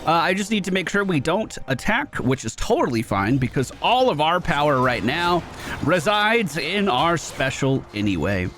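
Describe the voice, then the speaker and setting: High-pitched, animated male gaming streamer. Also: normal speed, a little hiss or hum. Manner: chatty, informal, reads game text aloud. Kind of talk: casual gameplay commentary.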